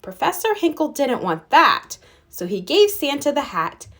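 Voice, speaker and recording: A woman reading a children's storybook aloud as narrator, with expressive storytelling. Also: high voice, measured pace. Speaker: storybook reader.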